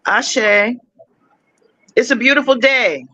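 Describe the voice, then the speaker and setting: Bright-voiced man hosting, halting. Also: very high voice, expressive, no room sound, rough recording. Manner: gentle, halting, moves the topic on. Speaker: host. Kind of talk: casual group discussion.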